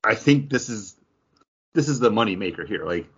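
A man with a fairly low voice talks as a podcast host in a casual review style. His delivery is conversational and full of slangy asides. The audio is slightly dull and lo-fi. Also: animated, moderate pace, husky.

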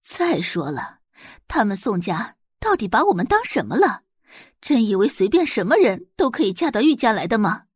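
A woman's voice: medium pitch, sing-song, moderate pace, breathy edge, no echo, studio quality. A woman voicing a wealthy, fussy mother of a grown son in an audio drama, coaxing and emphatic. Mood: indignant.

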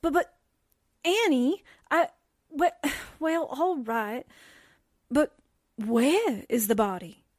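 High-pitched, timid, jittery sidekick. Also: female voice, lively intonation, slow, long pauses, dry recording, studio quality. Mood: dismayed. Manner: stammering when frightened. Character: timid, jittery young female assistant, easily frightened. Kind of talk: 1940s radio drama acting.

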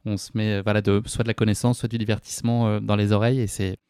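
A man's voice: lowish voice, level tone, quick pace, darkish tone, smooth, no echo, studio quality. A man hosting a podcast, interviewing in a conversational way. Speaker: podcast host.

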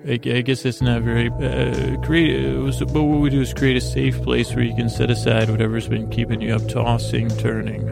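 A man with a very creaky, gravelly voice hosting a bedtime podcast, rambling haltingly and interrupting himself as he drifts into tangents. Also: medium pitch, little pitch movement, over music. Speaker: podcast host.

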